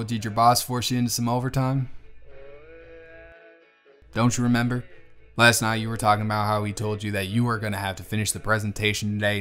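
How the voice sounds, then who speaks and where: A man's voice: lowish voice, a bit bright, close to an everyday voice. Man playing a flirty Southern bartender, music behind. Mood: playful, fond. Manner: folksy, playful, affectionate drawl. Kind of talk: romantic audio roleplay, speaking to the listener.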